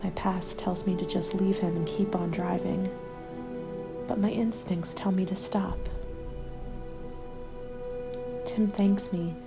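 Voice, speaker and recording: A woman plays a lone survivor of the apocalypse, guarded and worn down, setting down a diary in an audio roleplay. The voice is hushed and breathy, with a gravelly creak at the edges. It moves at a measured ASMR hush and stays on a narrow, level pitch. Music plays clearly underneath. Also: steady tone. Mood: guarded, reflective. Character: weary, guarded lone female survivor journaling a zombie apocalypse. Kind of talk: ASMR scripted first-person diary narration.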